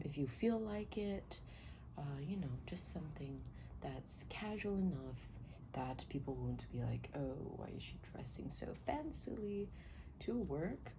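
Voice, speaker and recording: Low, soft-spoken ASMR woman. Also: noisy background. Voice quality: soft, breathy, often dropping into a whisper. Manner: gentle, polite, attentive, unhurried. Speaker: ASMR performer roleplaying a personal stylist.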